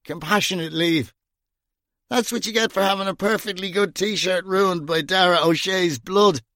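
A man's voice: high-pitched, a bit bright, no echo, clean studio sound, voice pushed up. A man voicing a very drunk, bitter man who slurs his words and talks sarcastically. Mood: drunken. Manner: slurred, sarcastic, drawn-out. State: drunk, slurring.

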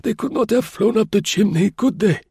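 A frail, husky old concierge. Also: male voice, sits high, animated, moderate pace, a little mellow, no echo, clean studio sound, pitched above the performer's own voice, heavily acted. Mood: coolly explaining. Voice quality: husky, croaking. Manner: meek, pleading. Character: very old frail meek male concierge with a cold.

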